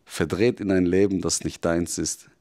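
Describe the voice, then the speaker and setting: A man voicing a calm, cynical male devil who confides in a measured, softly menacing way, as a scripted dramatic monologue. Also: sits low, natural voice. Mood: mocking.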